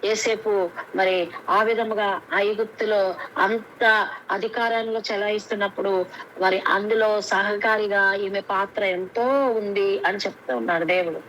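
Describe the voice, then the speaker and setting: A woman's voice: mid-range voice, steady tone, a little slow, a bit bright, poor audio. A middle-aged woman giving an earnest Bible message over a conference call, asking questions and answering them herself. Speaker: Bible teacher. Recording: faint background noise on a conference call.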